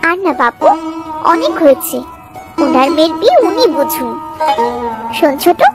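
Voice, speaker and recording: Woman acting a high, grumbling cartoon sister-in-law. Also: very animated, moderate pace, loud music behind the voice. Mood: resentful. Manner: grumbling, scornful. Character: resentful, gossipy sisters-in-law in a village cartoon.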